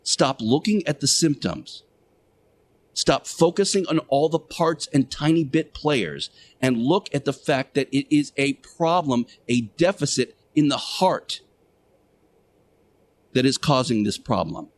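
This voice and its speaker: A man delivering a sermon-like radio monologue as a show host, speaking emphatically and preachily with deliberate rhetorical repetition. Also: mid-range voice, lively intonation.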